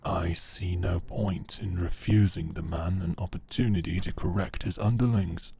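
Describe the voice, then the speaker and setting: A man playing a stern, shrewd knight in a medieval audio drama, calm, measured and knowing. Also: low-pitched, a little hiss or hum. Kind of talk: dramatic audio roleplay acting.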